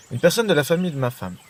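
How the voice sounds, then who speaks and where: A man's voice: a little high, sing-song, a little fast. A man giving an informal self-help monologue, chatting to the listener and repeating his phrases. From Belgium. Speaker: podcast host.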